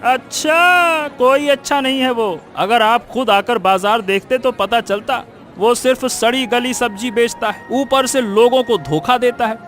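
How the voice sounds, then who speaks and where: A man's voice: very high-pitched, unhurried, fairly bright tone, soft background music. A man playing a scheming, spiteful village cheat in a cartoon, argumentative, blustering and insistent. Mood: scheming, persuasive. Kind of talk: Hindi cartoon moral story acting.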